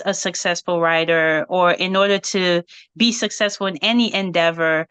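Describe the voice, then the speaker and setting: A woman's voice: fairly low pitch, a bit bright, clear, resonant tone, dry recording. A woman who grew up in Haiti and speaks French as her first language, giving thoughtful interview answers with frequent ums and you-knows. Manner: thoughtful, many fillers like um and you know. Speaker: guest, writer and editor.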